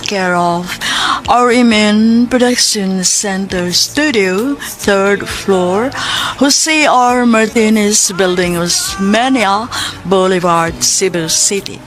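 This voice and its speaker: A woman's voice: medium pitch, fairly bright tone. A woman with a Cebuano Filipino accent hosting a radio drama, reading a scripted introduction in a sentimental announcing manner. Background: music.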